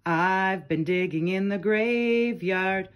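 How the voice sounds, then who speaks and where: A woman's voice: medium pitch, slow. A woman reading children's nursery rhymes aloud from a picture book, speaking to young listeners. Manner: addresses young listeners with questions and short comments.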